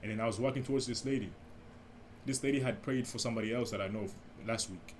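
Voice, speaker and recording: A young man with a deep, mellow voice hosting a casual solo podcast, telling a story with many restarts and asides. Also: fast, slight background noise. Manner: rambling, many restarts and asides, speaks softly. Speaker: podcast host.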